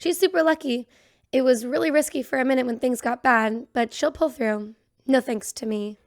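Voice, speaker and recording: High-voiced earnest young woman doctor. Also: normal speed. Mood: self-doubting. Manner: earnest, unsure of herself. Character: earnest, self-doubting young female doctor.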